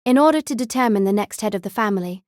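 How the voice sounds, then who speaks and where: A woman narrates a fantasy novel as an audiobook. Her voice sits at a medium pitch, and the recording is dry with no room sound. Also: lively intonation, quick pace, no room sound. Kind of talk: scripted story narration.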